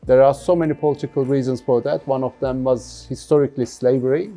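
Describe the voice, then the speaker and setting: A middle-aged man from London giving thoughtful interview answers as a business founder, haltingly and often repeating words. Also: mid-range voice, fairly even intonation, warm tone, faint music behind. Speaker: jeans factory founder being interviewed.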